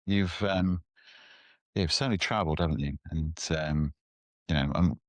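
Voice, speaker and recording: A man's voice: low voice, normal speed, no echo. A man hosting a design interview podcast, friendly and full of small fillers, recorded in an apartment. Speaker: podcast host.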